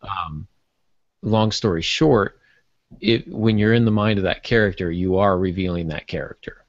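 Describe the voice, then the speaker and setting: A podcast co-host, a man with a fairly low voice, explains things informally and conversationally. The sound is very dry and a little dull, with no room around it. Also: normal speed, no echo. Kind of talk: casual podcast discussion.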